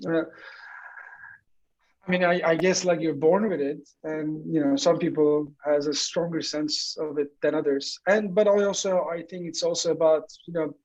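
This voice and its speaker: A man's voice: a little high, fairly even intonation, normal speed, darkish tone, rough recording. A man from Turkey in his forties, a guest answering interview questions in a loose, rambling way with lots of filler words. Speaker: guest, entrepreneur.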